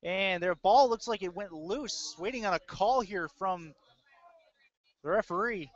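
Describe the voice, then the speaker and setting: High-pitched American man calling football. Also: unhurried. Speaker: play-by-play sports announcer. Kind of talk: live American football radio-style commentary. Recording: dull, lo-fi webcast audio.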